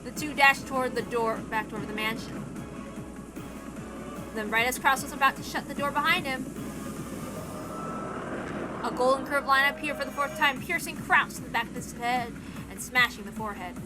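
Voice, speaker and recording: A woman's voice: high voice, moderate pace, long pauses, over music. A woman narrating a visual novel as a dramatic scripted reading.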